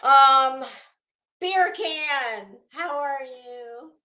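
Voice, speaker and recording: A middle-aged woman with a slightly high voice chats casually to her livestream. She takes her time, rambling through stories with wry, salty sass. The sound is echoey and roomy. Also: extremely slow, sharp tone. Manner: sassy, profane, wry. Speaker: livestream host.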